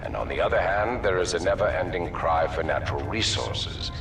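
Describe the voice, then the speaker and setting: A deep-voiced, ruthless game villain orates with cold, pompous authority. His phrases are measured and weighted with pauses. The voice is distant and echoey, with music clearly underneath. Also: animated. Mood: grave, emphatic. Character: cold, ruthless male military-corporate leader, grandiose villain. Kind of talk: formal villainous speech in video game. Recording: echoey hall, distant mic, music behind.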